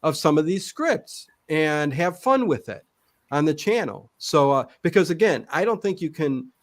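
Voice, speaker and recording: A man's voice: fairly high pitch, lively intonation, normal speed. A man chatting casually as an interview guest, rambling and often hesitating and correcting himself. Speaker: guest, online old-time radio channel host.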